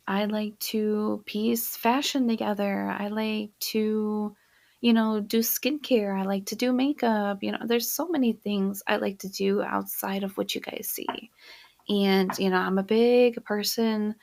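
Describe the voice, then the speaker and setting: A young woman hosting her own podcast, talking casually and soft-spoken, with lots of fillers. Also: medium pitch, steady tone, unhurried, gravelly. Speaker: podcast host. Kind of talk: casual solo podcast monologue.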